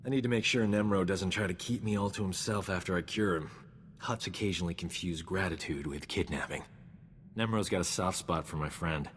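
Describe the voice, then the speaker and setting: Cocky doctor in a cavernous space. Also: male voice, deep voice, fairly quick, a bit bright, a little hiss or hum. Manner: cocky, self-admiring, smooth-talking. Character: vain, flirtatious male doctor, boastful charming rogue. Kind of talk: video game cutscene acting.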